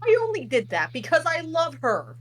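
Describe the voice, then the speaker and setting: A woman's voice: high-pitched, huge pitch swings, normal speed. A woman voicing a snobbish, irritable king and disapproving father in a comedic table read, haughty and scornful. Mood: outraged. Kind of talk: comedic table read of animated film script.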